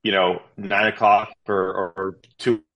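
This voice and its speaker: A man in his thirties with a lowish voice talks through his life story as a podcast guest. He rambles and restarts often, filling pauses with "um" and "you know.". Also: flat delivery, muffled recording. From Canada. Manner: rambling, with frequent fillers and restarts. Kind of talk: casual interview storytelling.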